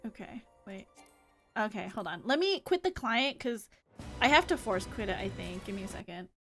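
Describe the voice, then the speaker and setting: A woman's voice: mid-range voice, expressive, moderate pace, fairly bright tone, soft background music. A woman live-streaming a game, chatting casually in a playful, rambling manner. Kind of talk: casual livestream chat, reading game dialogue aloud.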